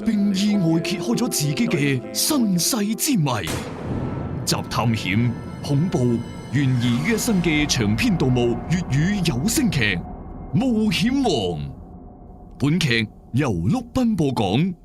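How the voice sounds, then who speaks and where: A man's voice: a little high, very animated, slow pace, over music. A man narrating an audio drama as a colloquial, suspenseful storyteller. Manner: colloquial, suspenseful, rhetorical questions.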